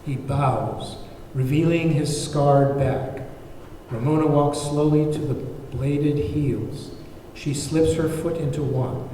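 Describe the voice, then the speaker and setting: Mellow male narrator in echoey hall. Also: a little high, steady tone, slow pace. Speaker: narrator reading stage directions. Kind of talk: scripted narration at table read. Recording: very echoey hall, far mic, faint noise.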